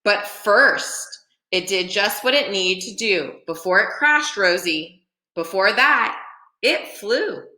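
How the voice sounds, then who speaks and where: A spirited old great-aunt from a children's storybook, voiced by a woman reader. She is hearty and emphatic, with a bright, lifted voice that echoes in the room. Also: mid-range voice, lively intonation, slow pace. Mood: proud, encouraging. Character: spirited elderly great-great-aunt, a former airplane builder.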